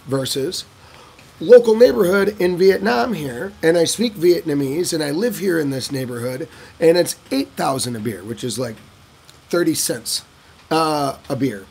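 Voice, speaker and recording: A man casually answering viewer questions in a vlog, telling stories in an animated way and acting out other people's lines. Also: gravelly, husky. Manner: animated storyteller who acts out locals' lines in a higher voice. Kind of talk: casual talking-head Q&A.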